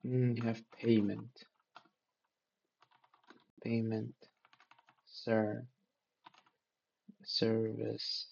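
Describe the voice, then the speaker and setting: A man's voice: on the low side, monotone, extremely slow, creaky, long pauses, darkish tone, highs cut off. A man for whom English is not a first language, taking notes aloud in a casual study vlog, hesitant and restarting as he searches for words. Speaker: learner sharing notes.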